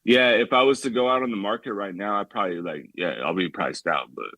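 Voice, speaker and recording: Young man, caller, low-pitched, dry close sound, rambling, says "like" constantly. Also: a little fast, bright, forceful tone, dry recording. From San Francisco Bay Area. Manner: rambling, heavy use of "like", many restarts. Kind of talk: casual call-in chat.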